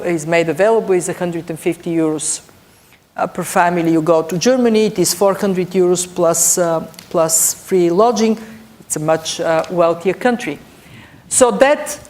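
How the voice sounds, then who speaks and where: A middle-aged woman from Bulgaria, speaking English with a Bulgarian accent. She is a panelist making deliberate points and stressing key words. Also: lowish voice, a little mellow. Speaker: panelist, European budget official. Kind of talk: panel discussion remarks.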